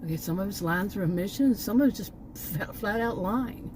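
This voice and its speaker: A woman's voice: medium pitch, fairly quick, darkish tone, slight background noise. A woman from Texas with a Texan accent, talking in a rambling, chatty way full of fillers and self-corrections as a channel host sitting outside near woods. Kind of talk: casual vlog monologue. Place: outdoors near woods and a park.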